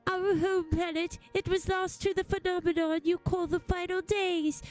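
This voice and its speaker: Woman voicing a high-pitched, mournful, formal alien refugee. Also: flat delivery, normal speed, soft background music. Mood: solemn. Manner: formal, elaborate wording.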